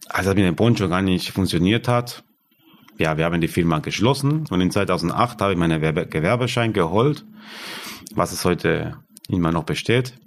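A man, a guest talking about founding his yarn business, halting as he searches for German words. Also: low voice, creaky. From Argentina. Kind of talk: casual interview.

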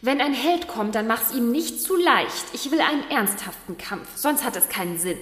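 A young fairy-tale princess speaks high and self-assured, with a bossy, imperious edge. It sounds like a children's radio play, set in a long, echoing reverb. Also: female voice, expressive, moderate pace, fairly bright tone. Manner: imperious, insistent. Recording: echoey, long reverberant space.

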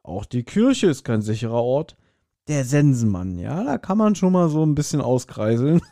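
Mellow-voiced man chatting casually, dry recording. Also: medium pitch, sing-song, normal speed, no echo. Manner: colloquial, joking exaggeration. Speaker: podcast host. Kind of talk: casual chat reviewing an audio drama.

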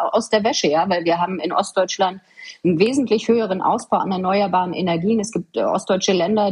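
A woman from eastern Germany with a husky voice, answering interview questions in an earnest, articulate and emphatic way. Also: medium pitch, darkish tone. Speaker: podcast guest, government official. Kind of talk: interview answers, explanatory conversation.

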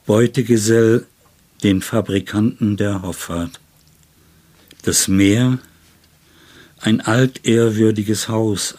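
Older man, very low pitch, husky, reflective, literary guest. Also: a little mellow. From Germany. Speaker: poet and interviewee. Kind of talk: reflective interview talk and poetry reading.

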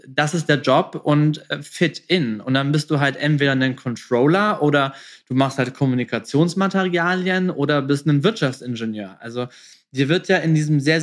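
A man hosting a casual podcast interview, speaking informally and mixing English business jargon into his sentences. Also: on the high side.

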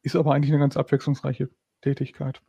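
A man talking fast on a tech podcast in a flat, gravelly, rather dark voice. The recording is dry and slightly dull. He explains things in a matter-of-fact way. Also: medium pitch, dry recording. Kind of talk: casual tech podcast chat.

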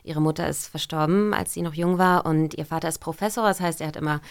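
A woman's voice: low voice, steady tone, fast talker, clean tone. A woman voice actress talking casually as a podcast guest, chatty and full of small fillers. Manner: chatty, many fillers and restarts. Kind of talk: casual interview chat.

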